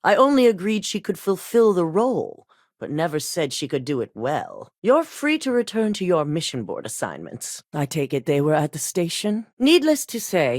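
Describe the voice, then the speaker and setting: A woman's voice: sits low, dry recording, clean studio sound. An actress voicing a cold, exacting female corporate executive in a video game, curt and authoritative.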